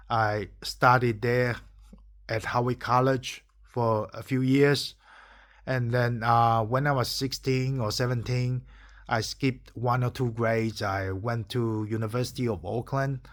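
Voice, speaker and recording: A man in his forties from Taiwan, a native Taiwanese and Mandarin speaker talking English, who speaks in an emphatic, preachy way, keeps asking "okay?" and swears now and then. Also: medium pitch. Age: 40s. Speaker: entrepreneur vlogger. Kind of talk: impassioned monologue to camera.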